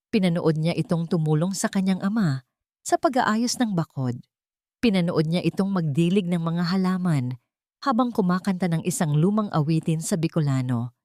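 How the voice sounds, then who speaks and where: A woman narrates a scripted romance story in a fairly low voice. Her intonation rises and falls expressively, and the recording is dry and close. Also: darkish tone, dry recording.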